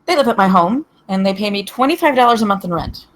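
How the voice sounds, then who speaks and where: A woman's voice: mid-range voice, expressive, quick pace, close to an everyday voice. A woman playing a blunt, grumbling, bigoted old grandmother landlady in a comedy table read. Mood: cranky, indignant.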